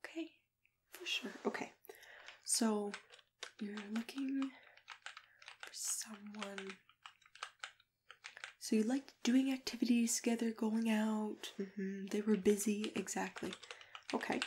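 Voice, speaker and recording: A woman speaking softly and unhurriedly in an ASMR matchmaker roleplay, gently drifting in and out of whispers. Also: mid-range voice, steady tone, slow pace, pauses often, slight background noise. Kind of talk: ASMR roleplay, soft-spoken chat with whispering. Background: computer keyboard typing and clicking.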